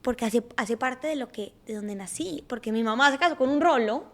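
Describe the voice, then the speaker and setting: A young Colombian woman with a Colombian accent and a high, light voice talks as a podcast guest. She speaks in an emotive, heartfelt way, lingering on what moves her. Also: animated, brisk, a bit bright. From Colombia, Bogotá and Cali. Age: young adult. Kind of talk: casual interview conversation.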